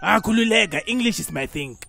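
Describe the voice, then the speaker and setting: A man voicing a brash, scheming, hot-tempered South African cartoon character, loud and excitable. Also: high voice, brisk, creaky, slight background noise, exaggerated voice. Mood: indignant, boastful. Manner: loud, excitable, mixes English into vernacular. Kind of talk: comedic cartoon dialogue.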